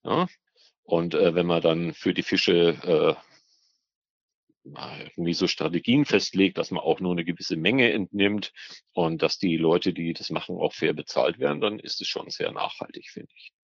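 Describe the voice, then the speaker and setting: Very deep, gravelly man explaining. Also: normal speed, dry recording, highs cut off. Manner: hesitant, searching, repeats words while explaining. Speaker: expert guest, biologist on ornamental fish trade. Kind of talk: spoken interview answers, explanatory.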